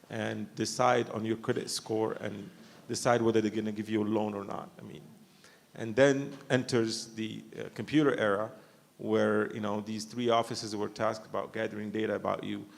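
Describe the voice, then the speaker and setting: A man's voice: lowish voice, little pitch movement, moderate pace, vocal fry, long reverb tail. A man from Morocco speaking on a conference panel, thoughtful and full of restarts and fillers. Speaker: panelist, company founder. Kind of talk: panel discussion. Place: university conference hall.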